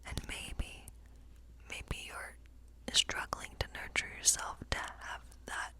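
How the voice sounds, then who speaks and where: Casting: woman to play a kindly cottage witch for ASMR fantasy role play, all in breathy whisper, gentle and musing. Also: close to an everyday voice. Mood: soothing, reflective. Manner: slow, gentle, musing, often repeating phrases. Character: kindly woodland witch reading tarot for a guest. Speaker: ASMR performer.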